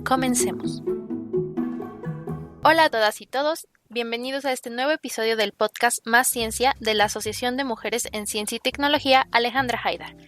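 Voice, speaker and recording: A woman co-hosting a science podcast, speaking in a clear, measured way. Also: fairly high pitch, fairly bright tone, soft background music. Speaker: podcast co-host. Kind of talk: podcast interview chat.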